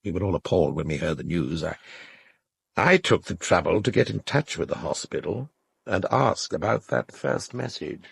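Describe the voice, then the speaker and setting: An actor playing an urbane, cynical literary critic in an audio drama, speaking in a dry, articulate, knowing way. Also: male voice, sits low, lively intonation, normal speed, gravelly, slightly rough, dry recording, full character voice. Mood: candid, reflective. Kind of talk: naturalistic audio drama dialogue.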